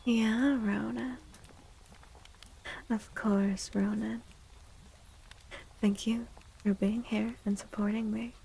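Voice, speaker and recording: A woman streaming soft-spoken ASMR tarot readings in a breathy half-whisper, gentle and encouraging. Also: on the high side, level tone, slow, long pauses, a little hiss or hum, rough recording.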